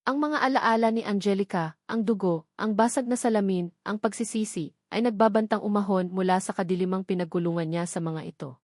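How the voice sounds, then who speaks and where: A woman's voice: mid-range voice, a little fast, a little mellow, dry recording. Dry, dramatic woman storyteller. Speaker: narrator of romance audio dramas.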